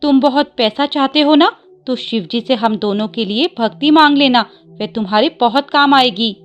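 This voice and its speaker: A woman's voice: sits high, moderate pace, lo-fi sound, faint music behind, lightly acted. A woman voicing a devout, sensible wife who questions and advises in a devotional story. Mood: persuasive. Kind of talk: Hindi devotional story dialogue.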